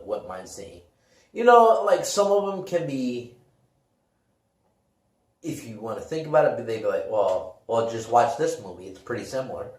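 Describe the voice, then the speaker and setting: Distant and echoey, this is a man with a soft, mellow voice. He chats casually as a podcast co-host, rambling along in loose phrases. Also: mid-range voice. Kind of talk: casual chat about games and movies. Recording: echoey room, distant from the mic.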